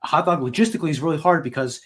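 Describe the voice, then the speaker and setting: Guy co-hosting a podcast, talks fast, just casual chat. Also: on the high side. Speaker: podcast co-host.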